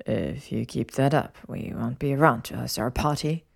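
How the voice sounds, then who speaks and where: A woman's voice: low-pitched, moderate pace. A woman voicing an airy, assured, somewhat bossy young male wizard hosting a party in a story.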